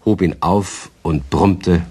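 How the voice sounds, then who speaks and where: A man narrating a children's radio play in a calm, expressive storytelling manner. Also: sits low, slow pace, husky, no room sound.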